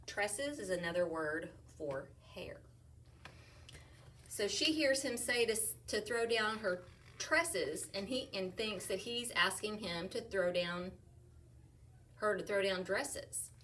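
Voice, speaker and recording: A woman teacher talks her class through a picture book in a slow, playful and expressive way, sounding out rhyming words. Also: mid-range voice, far from the mic, slight background noise. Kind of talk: read-aloud story discussion for young children.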